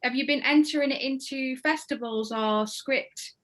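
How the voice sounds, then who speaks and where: This is a woman with a British accent and a moderately high voice. She hosts an online group discussion, speaking casually and without a script. Her delivery is plain and conversational. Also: normal speed, a bit bright. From United Kingdom. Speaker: host of an online table read. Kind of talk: casual, unscripted hosting. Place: online group video call.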